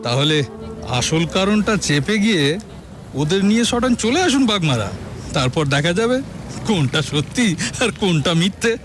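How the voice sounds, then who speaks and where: Boastful, goading hunter-author, Kolkata Bengali, higher register, very animated delivery, radio-play style over music. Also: male voice, a little slow. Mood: mocking. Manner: emphatic, goading, with scoffing chuckles. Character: boastful, needling hunter-author, married man, sceptical of mediums. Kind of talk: Bengali audio drama acting.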